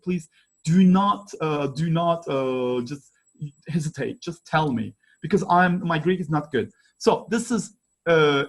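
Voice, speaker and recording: A man with a Turkish accent presents at an online academic conference, speaking through a computer microphone. His voice sits a little high and carries a husky edge. He talks haltingly, filling gaps with "uh" and frequent apologies. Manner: halting, many fillers and apologies.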